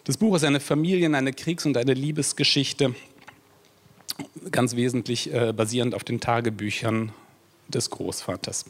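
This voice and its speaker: A German man moderates an evening panel discussion, introducing the guest formally. His phrasing is measured, with the odd filler, and his voice sits at a middle pitch for a man. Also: steady tone. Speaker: journalist, moderator.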